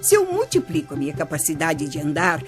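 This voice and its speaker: An actress playing a kindly older Brazilian grandmother who teaches through stories in a children's radio drama, measured and expressive. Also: low voice. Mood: patient, instructive. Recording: music bed under voice.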